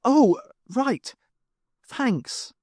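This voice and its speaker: A man voicing a gentle, considerate male ship passenger with a mild, bashful manner in an audiobook. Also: extremely high pitch, lively intonation, extremely slow, no echo, studio quality, pitched above the performer's own voice, heavily acted. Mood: sleepy. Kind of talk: audiobook character voice.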